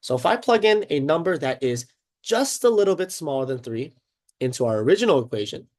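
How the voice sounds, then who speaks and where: A man's voice: a little high, big pitch swings, a little fast. A congested, sniffling man teaching a casual math lesson at a board, upbeat and chatty, often checking in with "okay" and "right?".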